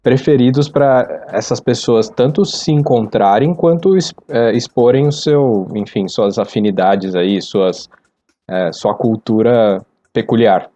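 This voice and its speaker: A middle-aged Brazilian man thinking aloud in a casual, halting way on a podcast. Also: medium pitch, dark tone. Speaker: podcast panelist. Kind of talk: casual reflective podcast discussion. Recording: slightly dull lo-fi audio.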